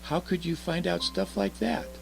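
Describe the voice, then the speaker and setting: A man voicing an excited, wide-eyed little girl full of eager questions, reading from a storybook. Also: high-pitched, normal speed, vocal fry, over music, pitched above the performer's own voice. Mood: curious.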